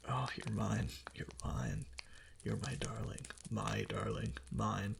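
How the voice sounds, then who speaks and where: Man acting a gravelly, low-voiced, obsessive fox-spirit husband. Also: wildly swooping pitch, slow, noisy background, heavily acted. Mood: possessive, obsessive. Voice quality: breathy, gravelly, often whispered. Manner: soft, hesitant, clingy pillow talk. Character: obsessively devoted yandere kitsune husband, tender and possessive. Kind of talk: intimate romantic ASMR roleplay. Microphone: close ASMR microphone.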